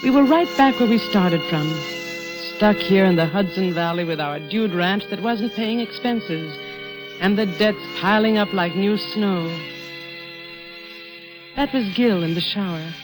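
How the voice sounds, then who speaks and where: An actress playing a worried, suspicious wife who runs a failing ranch, in 1940s radio drama style with narration and questioning dialogue. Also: female voice, mid-range voice, measured pace, close to an everyday voice. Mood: brooding. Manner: brooding, questioning. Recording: vintage radio broadcast with music.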